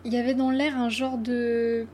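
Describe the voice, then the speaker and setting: A woman's voice: a little high, level tone, normal speed, warm tone, slight background noise, lightly acted. A woman playing a weary, blunt witness who hesitantly recalls a crisis in colloquial speech, for a radio fiction. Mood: weary, reflective. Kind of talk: mock-interview radio fiction.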